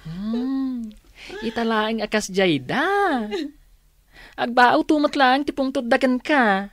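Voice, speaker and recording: A woman's voice: on the high side, slow pace, dry recording, clean studio sound. A woman acting a caring young adult confidante in a radio drama, giving earnest, consoling advice. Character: caring older female confidante, gently advising.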